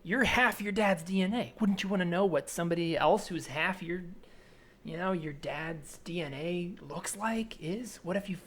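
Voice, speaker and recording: A man improvising an earnest, obsessive man in his twenties in a naturalistic comedy scene, speaking haltingly with pleading intensity. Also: sits high, a bit bright. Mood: uneasy, insistent. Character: earnest obsessive 27-year-old man haunted by flip-flops. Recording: remote recording with added ambient soundscape noise.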